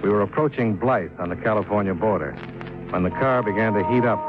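A man's voice: fairly low pitch, brisk, over music, lightly acted. An actor playing a cool, watchful male investigator, narrating and talking tersely in 1950s radio detective style. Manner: terse, matter-of-fact, unruffled. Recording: muffled 1950s radio recording.

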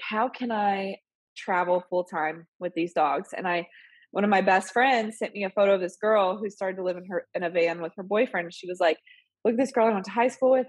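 A woman's voice: medium pitch, slightly rough, no room sound. A woman in her thirties, a little sick and nasal, chatting casually as a podcast guest with lots of fillers. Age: 30s. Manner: chatty, rambling with many fillers and laughs. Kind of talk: casual interview chat.

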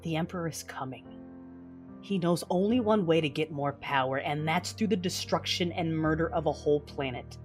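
A woman playing a proud, defiant Sith lord who narrates her own story in a science fiction audio drama, intense and deliberate. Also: low-pitched, soft background music, close to an everyday voice. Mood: urgent, impassioned.

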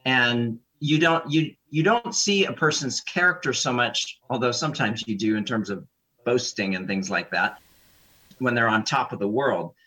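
A man's voice: medium pitch, moderate pace, pushed and bright, husky, poor audio. A man who is a sports chaplain and coach, giving reflective, moralizing commentary on a casual talk panel.

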